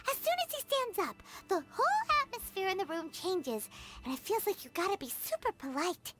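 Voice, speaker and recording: A woman voicing a tiny, cheerful, childlike female companion who chatters excitedly. Music plays under the game audio. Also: very high-pitched, brisk. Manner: excitable, blurts things out.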